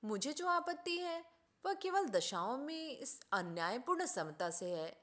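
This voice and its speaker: A woman's voice: high voice, very animated, a little slow, a bit bright, full character voice. A woman voicing an indignant, idealistic man in a storybook reading, speaking in an earnest, formal, oratorical way. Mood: earnest, reasoning. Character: idealistic, indignant man preaching justice and selfless service.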